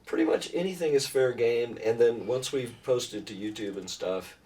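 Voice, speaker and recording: A man's voice: mid-range voice, normal speed, a little mellow. A middle-aged man chatting casually about faith as a pastor and host, in an informal, rambling, self-deprecating way. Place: small room with foam panels. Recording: distant mic, room noise.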